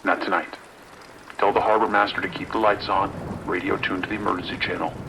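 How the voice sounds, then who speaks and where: A man's voice: low-pitched, little pitch movement, fairly quick, creaky, pushed and bright, a little hiss or hum, heavily acted, rough recording. A man playing a stern, authoritative sheriff's lieutenant with a clipped delivery in an audio drama. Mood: firm, urgent.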